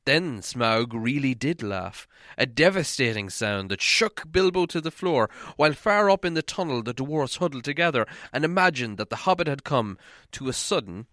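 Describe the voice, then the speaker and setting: Male audiobook narrator, medium pitch, scripted fantasy storytelling, dry close sound. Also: sing-song, normal speed, sharp tone, no echo.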